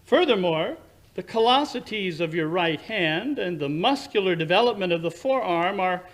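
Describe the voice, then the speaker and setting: A man playing a brilliant, condescending Victorian English gentleman detective who lectures precisely and theatrically in 1940s radio drama style. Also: high voice, lively intonation, moderate pace. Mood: confident deduction.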